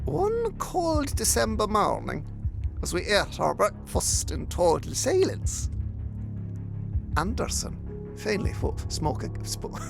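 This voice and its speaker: Man acting a theatrical, creaky-voiced detective inspector. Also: high voice, huge pitch swings, slow, music in the background, exaggerated voice. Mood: dramatic storytelling. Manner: pompous, theatrical storytelling. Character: eccentric male detective inspector, pompous, digressive, sentimental. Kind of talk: comic audio drama acting.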